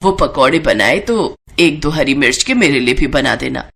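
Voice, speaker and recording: A woman's voice: very deep voice, expressive, moderate pace, vocal fry, fairly bright tone, husky, voice pushed down, full character voice. A woman voicing an elderly Indian mother-in-law in a cartoon story, stubborn but easygoing, with a homely, coaxing manner. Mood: grumbling, coaxing. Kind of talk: Hindi cartoon moral story acting.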